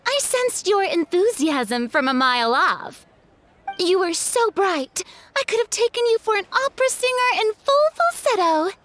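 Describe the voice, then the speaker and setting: Game role: gracious young diva, very high and lively, dramatic, eloquent delivery. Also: female voice, a little slow, heavily acted. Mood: playful, gracious. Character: theatrical, gracious young female celebrity star. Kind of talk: video game voice acting.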